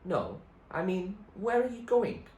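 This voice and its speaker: A man's voice: sits high, big pitch swings, a little slow, a little mellow, voice pushed up. A man reading aloud who voices an odd old man who rambles and speaks in riddles, in an echoey room. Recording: echoey room, faint noise.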